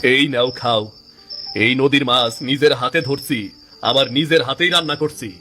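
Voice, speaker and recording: A man's voice: mid-range voice, unhurried, sharp tone, faint music behind. A man playing a poor, kind-hearted village cook in a cartoon, with an earnest, humble manner and a rural Bangladeshi accent. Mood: humble, generous. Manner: earnest, humble, homely dialect. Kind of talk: cartoon story dialogue.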